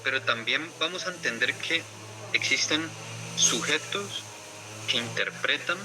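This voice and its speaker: Slow, deliberate male lecturer. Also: mid-range voice, background noise. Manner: slow, deliberate, pausing between phrases. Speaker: law lecturer. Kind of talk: university lecture.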